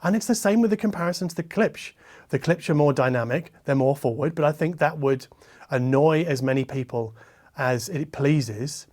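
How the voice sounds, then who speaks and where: Higher-pitched man reviewing gear conversationally. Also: moderate pace, darkish tone. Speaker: host, hi-fi reviewer. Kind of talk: conversational product review to camera. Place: treated listening room, six by five meters.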